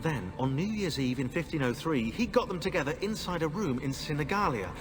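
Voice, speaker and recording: An actor playing an eager, informal history enthusiast telling stories casually in a video game. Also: male voice, fairly high pitch, fairly quick, big-room sound, natural voice. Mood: enthusiastic. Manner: animated, informal. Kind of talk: casual conversational game acting.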